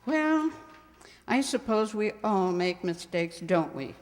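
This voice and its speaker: Woman playing a slow-spoken, fussy small-town librarian. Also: mid-range voice, sing-song. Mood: coyly forgiving. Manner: fussy, scolding yet genteel. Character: prim, chatty small-town spinster librarian. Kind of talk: amateur radio mystery play acting. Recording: reverberant hall sound.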